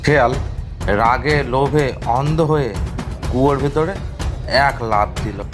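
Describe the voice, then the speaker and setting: A man's voice: medium pitch, measured pace. A man narrating a scripted children's story as the storyteller. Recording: prominent music behind the voice.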